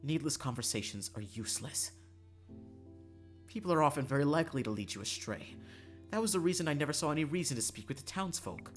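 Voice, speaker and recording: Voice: medium pitch, lively intonation, fairly quick, close to an everyday voice. Haughty, guarded young princess read by a streamer, formal, dismissive, music underneath. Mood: cold, dismissive.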